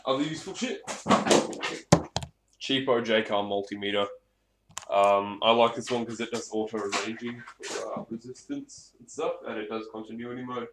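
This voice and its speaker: A man's voice: medium pitch, big pitch swings, moderate pace. A man giving a casual hands-on gear demonstration in a rambling, off-the-cuff way, with some swearing. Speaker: hobbyist presenter. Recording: echoey room, clear background noise, clicks.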